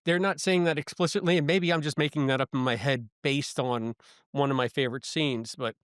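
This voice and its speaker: A man co-hosts a casual review podcast. He rambles eagerly through his points, stumbling and restarting as he goes. His mid-pitched voice is recorded very dry, with no room sound. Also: fairly even intonation, fairly quick, fairly bright tone, clear, resonant tone, no echo. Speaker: podcast co-host.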